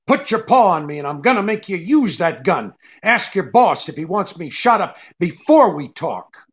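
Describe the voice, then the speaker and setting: An actor playing a tough, wry private detective, hard-boiled and wisecracking, in a 1940s-style radio drama. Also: male voice, sits high, very animated, moderate pace, husky, highs cut off, exaggerated voice. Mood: defiant. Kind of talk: 1940s radio drama acting with first-person narration.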